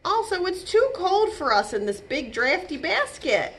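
Woman as a storybook toy animal, high, plaintive, complaining, exaggerated. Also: sing-song, unhurried, slight background noise, full character voice. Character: whiny, complaining stuffed toy animals at bedtime. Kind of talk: picture-book character voice.

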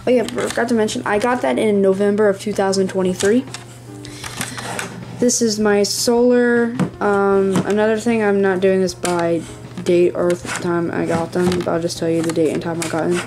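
A hobbyist giving a casual, rambling show-and-tell in a small room at home, speaking haltingly near a handheld camera. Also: mid-range voice, flat delivery, noisy background. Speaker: hobbyist presenting a radio collection. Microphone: handheld camera close by.

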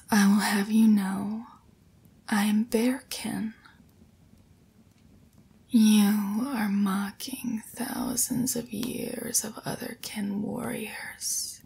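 A woman's voice: mid-range voice, heavily acted. A young woman voicing an affectionate, teasing, playful girlfriend in a whispered, intimate ASMR roleplay. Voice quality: breathy, whispery. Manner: slow, playful, teasing, with sighs and soft chuckles.

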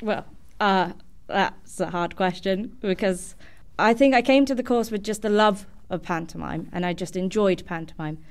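A woman's voice: medium pitch, normal speed, a little mellow, clean tone. A young adult woman, a student guest, giving earnest conversational answers in a podcast interview.

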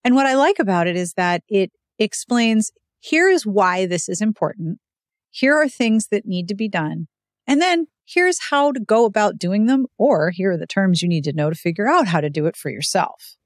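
A woman's voice: mid-range voice, very animated, moderate pace, no echo. Dry-recorded woman hosting a casual interview. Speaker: podcast host, interviewer.

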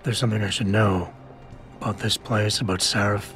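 An actor playing a terse, sardonic ex-SWAT security chief in a video game, with a dry delivery. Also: male voice, fairly low pitch, fairly even intonation, fairly quick, natural voice. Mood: guarded, dry. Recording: game audio with music behind.

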